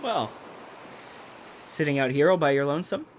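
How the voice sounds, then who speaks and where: A man's voice: fairly high pitch, animated, normal speed, slight background noise, lightly acted. An actor playing a folksy, forward hotel keeper courting a woman, chatty and drawling, in old-time radio drama style. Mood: friendly, flirtatious. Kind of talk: 1940s radio acting, dialogue.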